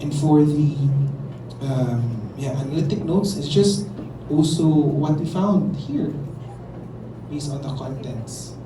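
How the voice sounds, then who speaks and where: A man's voice: a little high, animated, slow pace. A man with a Filipino accent leading a university workshop. He mixes English with local phrases and keeps questioning the students. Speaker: workshop facilitator. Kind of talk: classroom workshop teaching. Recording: roomy, distant, noisy room recording.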